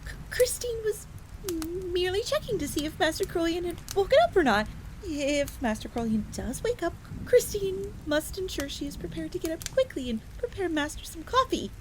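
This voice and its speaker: A woman's voice: very high-pitched, very animated, moderate pace. A woman playing a chatty, deferential fox maid who talks about herself in the third person in a fantasy audio roleplay. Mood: flustered, stammering. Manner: refers to self in third person, informal, explanatory. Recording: clear background noise, crackling fire ambience.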